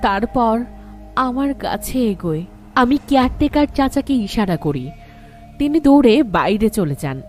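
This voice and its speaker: A woman voicing a young wife who tells her own horror story in the first person, in a slow, tense manner, for an animated ghost story. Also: a little high, faint music behind.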